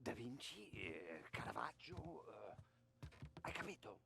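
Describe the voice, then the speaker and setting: An actor playing an Italian safecracker who speaks Italian with broken English words, often whispering, in a game cutscene. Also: male voice, lowish voice, big pitch swings, unhurried, creaky, pushed and bright, full character voice. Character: Italian safecracker, older man, mostly whispering. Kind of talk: video game cutscene voice acting.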